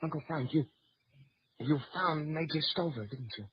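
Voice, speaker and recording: A man's voice: fairly high pitch, moderate pace, close to an everyday voice. A male actor playing a curious, eager American boy, a loyal nephew in a 1940s radio drama. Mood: worried. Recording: muffled, hissy old radio recording.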